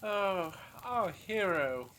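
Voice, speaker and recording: A man's voice: high-pitched, animated, drawn-out words, pushed and bright, slight background noise, full character voice. A man playing a haughty, suspicious captive in an audio drama, curt and sarcastic. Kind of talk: fan audio drama acting.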